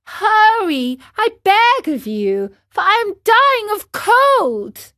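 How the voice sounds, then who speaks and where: A woman's voice: extremely high pitch, very animated, takes it slowly, pushed and bright, pitched above the performer's own voice, exaggerated voice. A woman voicing a pleading, hot-tempered wooden marionette boy in a children's storybook. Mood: desperate pleading. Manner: pleading, exclamatory.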